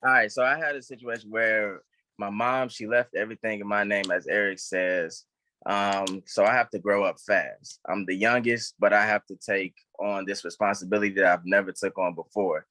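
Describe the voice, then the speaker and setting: A man's voice: fairly low pitch, fairly even intonation, moderate pace, bright, forceful tone, slight background noise. A young man speaking as an actor and interview guest in a casual, chatty style. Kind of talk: casual chat.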